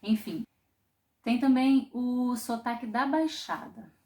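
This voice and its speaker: A woman from Brazil teaching a popular singing class, explaining in a thoughtful way with frequent pauses and restarts. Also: fairly high pitch, mellow. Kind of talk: online music lesson.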